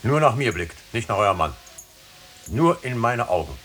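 An actor playing a coldly authoritative older judge, deliberate and imperious, in a 1950s German radio drama. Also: male voice, medium pitch, big pitch swings, a bit bright. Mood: commanding. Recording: faintly hissy 1950s radio recording.

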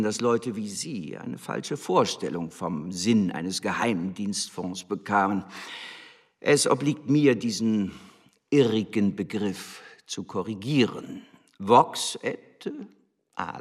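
A scornful male embassy official in a radio drama. His low voice is husky, and he lectures with haughty, sneering contempt. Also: expressive, slow, heavily acted. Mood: haughty, lecturing. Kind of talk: German radio drama acting.

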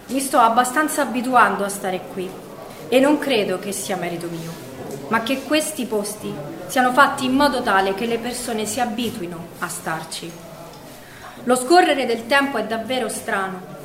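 An actress playing an imprisoned woman, weary, reflective and quietly defiant, in a measured theatrical monologue. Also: a little high, expressive, roomy sound, a crowd in the background, natural voice. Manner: measured, literary, introspective delivery.

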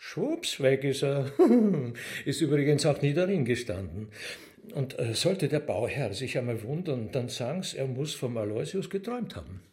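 A man's voice: medium pitch, very animated, normal speed, a little mellow, close to an everyday voice. An actor playing a sly, theatrical demon henchman in a radio drama, flippant and mockingly courteous.

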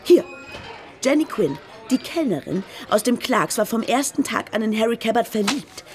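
An actress playing a hurt, angry small-town waitress with a bitter, sarcastic manner in a radio play. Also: female voice, on the high side, very animated, moderate pace, over music.

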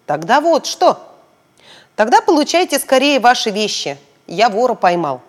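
Gruff storybook policeman, stern with a soft core, played by a woman reader; loud, lower tone, long reverb. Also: sing-song, normal speed. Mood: brisk. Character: stern grown man, a policeman, gruff but kindly.

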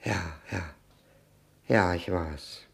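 An actor playing a nervous, self-abasing widower who drinks, stammering and repeating himself in an old radio play. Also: male voice, low voice, extremely slow, gravelly, fairly bright tone, breathy edge, exaggerated voice. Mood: sheepish, faltering. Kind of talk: 1960 German radio play acting. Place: radio drama studio.

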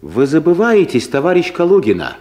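A man's voice: fairly high pitch, huge pitch swings, fairly quick, darkish tone. A man playing a timid, awkward office clerk who turns stubbornly cheeky, in a comedic audio drama. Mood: stubborn, sulky. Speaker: actor in a radio play.

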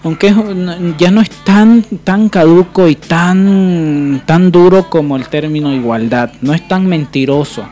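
A man's voice: a little high, unhurried, few pauses, mellow, soft background music. A man with a Bolivian Spanish accent co-hosting a radio panel, speaking off the cuff in an opinionated, reflective way. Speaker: radio show co-host. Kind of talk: unscripted radio panel talk. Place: radio booth.

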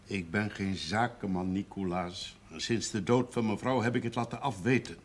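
A man's voice: lowish voice, moderate pace, lightly acted. A middle-aged man playing a gloomy, debt-ridden farmer and brewer in a television period drama. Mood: weary, defeated. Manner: gloomy, terse, resigned. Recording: noisy old TV soundtrack.

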